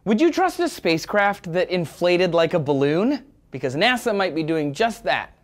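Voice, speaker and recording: A woman hosts a scripted web explainer with a conversational, emphatic delivery. Her low-set voice swings in pitch a lot, over faint music. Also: big pitch swings, normal speed. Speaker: host of a weekly space news show.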